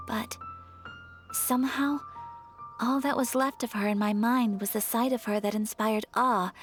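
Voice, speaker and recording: A woman playing a refined young noblewoman in a video game, gentle and earnest, speaking softly in formal, measured phrases. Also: fairly high pitch, natural voice. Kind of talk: video game character acting. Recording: soft music behind the voice.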